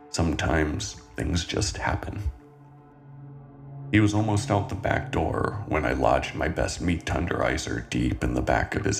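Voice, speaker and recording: A calm male killer, acted by a man in a horror audio drama, confessing fondly in an extremely low voice. His delivery is gravelly and deliberate, with dramatic pauses over a soft music bed. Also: exaggerated voice. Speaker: writer and narrator of a horror fiction podcast. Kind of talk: scripted first-person horror monologue. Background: music soundtrack.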